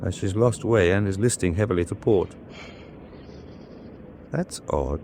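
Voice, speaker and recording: A low-voiced naval first officer in a wartime radio play. He speaks with restrained, formal precision and quiet skepticism, against clearly audible noise. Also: a little slow. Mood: observant, concerned. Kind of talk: old-time radio war drama acting.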